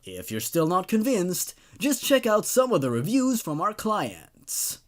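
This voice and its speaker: Storybook boogeyman, male reader: theatrical salesman monster, animated delivery, raised pitch. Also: a little slow, heavily acted. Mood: proud, salesmanlike. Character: cheesy shapeshifting boogeyman pitching his scare service to parents.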